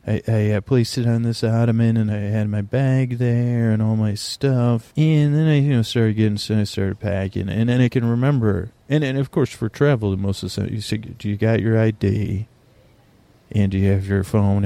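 A man's voice: on the low side, fairly even intonation, normal speed, vocal fry, warm tone. A man hosting a sleep podcast, talking in a languid, meandering bedtime monologue that drifts off topic and keeps interrupting itself.

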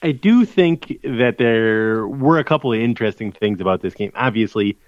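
A man's voice: on the low side, expressive, normal speed, no room sound, dull audio. Dry-sounding podcast man talking football stats. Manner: conversational, stat-heavy, restarts mid-sentence. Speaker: podcast co-host, college football correspondent. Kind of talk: casual sports podcast chat.

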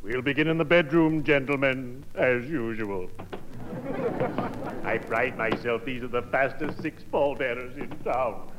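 An actor playing a macabre but cheerful undertaker in a 1950s radio comedy, with formal, pompous manners. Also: male voice, medium pitch, measured pace, close to an everyday voice. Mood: courtly. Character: ghoulishly cheerful, courtly undertaker friend. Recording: noisy, muffled vintage radio broadcast.

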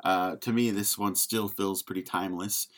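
A man's voice: low voice, fairly even intonation, normal speed. A man hosting a podcast, chatting casually about film in a jokey, self-deprecating way. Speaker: podcast host.

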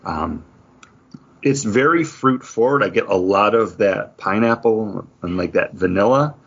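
A man chats casually as a podcast co-host, describing things in an easy conversational flow. His voice is a little rough and husky, with animated intonation. The audio is slightly muffled. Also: fairly low pitch, a little slow.

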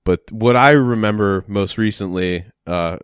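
Deep smooth-voiced man joking around. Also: dry recording, clean studio sound. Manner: joking, laid-back, drawn-out speech with many fillers. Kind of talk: casual chat.

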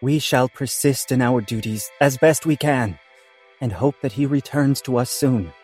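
A man's voice: medium pitch, unhurried, a little mellow, slightly rough, soft background music. A man playing a grandiloquent, mock-solemn hero declaiming in a comic audio play. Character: grandiloquent man giving mock-Shakespearean heroic speeches. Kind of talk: comic amateur audio drama.

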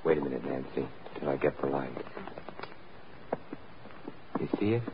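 A man's voice: rumbling low, moderate pace. A man playing a suave, wry, confident adventurer-sleuth in 1940s radio style. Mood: hurried, intent. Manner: urbane, wry. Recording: tinny, noisy old radio recording.

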